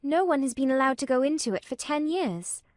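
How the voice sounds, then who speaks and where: Woman narrator as a ten-year-old girl, high, hesitant and careful. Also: lively intonation, a little fast, a little mellow, no echo, studio quality. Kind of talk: audiobook character voice.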